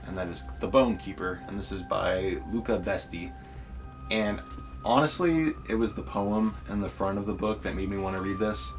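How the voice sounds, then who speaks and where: A young man with a congested, slightly nasal voice, chatting enthusiastically in a casual book vlog. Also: deep voice. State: congested, stuffy nose. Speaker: book vlogger.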